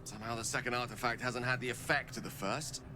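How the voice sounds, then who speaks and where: A man playing an erudite, courteous, world-weary wizard in a fantasy video game, with eloquent, measured, poetic phrasing. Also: mid-range voice, fairly even intonation, background noise, natural voice. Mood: troubled, melancholic.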